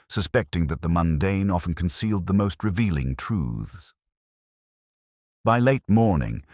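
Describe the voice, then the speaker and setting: A male audiobook narrator with an extremely low voice tells a mystery in a measured, formal way. His pitch moves in lively arcs, and the sound is dry and close, without room echo. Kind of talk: scripted mystery story narration.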